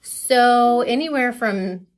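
A woman's voice: on the high side, slow. A woman answering interview questions as a coach, casual, thoughtful and candid. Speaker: forensics coach being interviewed.

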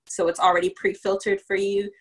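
A woman's voice: mid-range voice, level tone, brisk, breathy edge, poor audio. A woman from Virginia, a business founder chatting as a podcast guest and explaining things step by step with plenty of fillers. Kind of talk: casual podcast interview.

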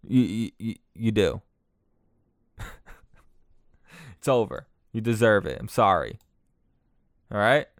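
A man's voice: on the low side, lively intonation, slow, long pauses, no room sound, studio quality. A young man, hosting his own podcast in a loose, profane, mocking solo rant full of restarts. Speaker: podcast host.

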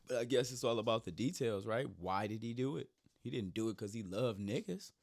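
A man in casual comedic chat whose voice is going hoarse, riffing loosely and cracking profane jokes. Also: mid-range voice, lively intonation, fairly quick, a little mellow. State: losing his voice.

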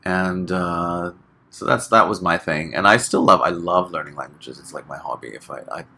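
A man from Hong Kong, a guest chatting casually in an interview, relaxed and full of fillers, laughing often. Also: low voice, normal speed, gravelly.

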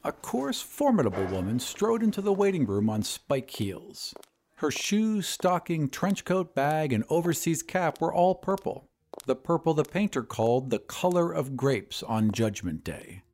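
A man reading fiction as a podcast narrator, in an expressive, scripted storytelling style. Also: on the high side, measured pace, slight background noise.

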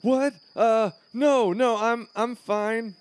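A man's voice: very high-pitched, steady tone, slow pace, smooth, dry recording. A man playing a pampered, bratty male student in a comedy series, petulant and full of bluster. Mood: whiny, defensive.

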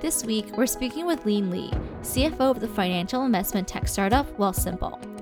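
A woman hosting a podcast, reading a prepared introduction in an upbeat, clear way. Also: fairly high pitch, animated, brisk. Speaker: podcast host. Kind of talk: scripted podcast intro and interview questions. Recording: voice over intro music.

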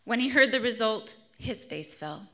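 A woman's voice: a little high, expressive, normal speed, fairly bright tone. A woman in her thirties with a scratchy voice, reading her own essay at a live event. She speaks earnestly, with fillers and restarts. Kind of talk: live reading with spoken introduction. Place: arts center event hall.

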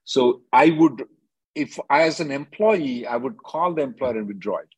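A man with a husky voice, heard close and dry. He advises callers briskly and emphatically, repeating short phrases to drive a point home as he runs the call. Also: medium pitch, expressive, no echo. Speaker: immigration lawyer hosting a call-in.

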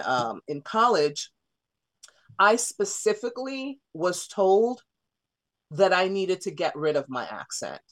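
Woman, guest on a studio radio show, casual and chatty, many fillers. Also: lowish voice. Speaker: theater artist and guest.

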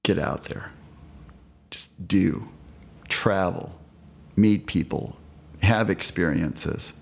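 A man from California, a podcast guest, giving emphatic, encouraging advice in a gravelly, husky voice. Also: low voice, very slow.

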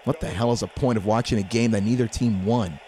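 An actor playing a gruff, pushy, opinionated man who scorns and needles, in an audio drama. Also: fairly low pitch, a little fast, mellow, lightly acted. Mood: blunt, dismissive. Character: gruff, pushy older-brother type, scornful football fan.

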